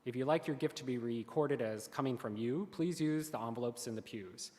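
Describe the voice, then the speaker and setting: A man reading the offering announcements at a church service. He speaks earnestly and carefully at a middling pitch. His voice carries a long reverb from the sanctuary. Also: fairly quick, darkish tone. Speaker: worship service lay leader.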